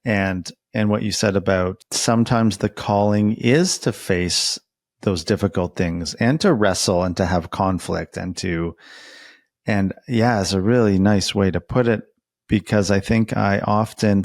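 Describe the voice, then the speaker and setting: A man's voice: on the low side, normal speed, no room sound, clean studio sound. A man hosting a casual, reflective interview, speaking informally and tentatively as he thinks aloud. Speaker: interview host.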